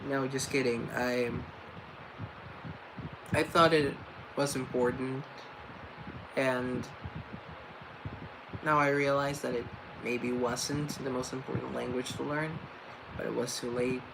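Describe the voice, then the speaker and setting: Playful, unhurried man chatting. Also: mid-range voice, flat delivery, gravelly, noisy background. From Colombia. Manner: playful, joking, drawn-out. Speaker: host. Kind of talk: casual comedic chat.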